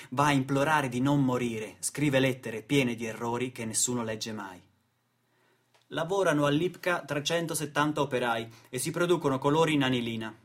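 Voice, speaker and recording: A man's voice: medium pitch, a bit bright. A man delivering dramatic scripted narration for radio theatre in a solemn, theatrical manner, with short weighted phrases. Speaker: narrator and stage actor.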